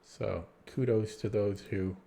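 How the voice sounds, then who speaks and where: A man's voice: lowish voice, steady tone, slow, creaky, warm tone. Male streamer, late-night, fatigued and rambling, voice fading. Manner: tired, rambling, many restarts and fillers. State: losing his voice. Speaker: streamer solving chess puzzles. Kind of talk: casual live-stream chat.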